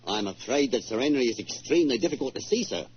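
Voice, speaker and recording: An actor playing a guarded, deferential manservant who speaks formally, in the style of 1940s radio drama. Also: male voice, medium pitch, expressive, fast, husky. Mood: polite, guarded. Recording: noisy muffled 1940s radio recording.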